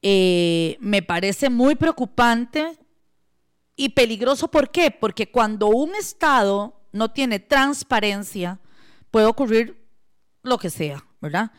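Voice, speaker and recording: A woman's voice: a little high, animated, slow, clear, resonant tone. A woman with a Costa Rican accent hosting a radio talk show, speaking hesitantly with many fillers. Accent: Costa Rican Spanish.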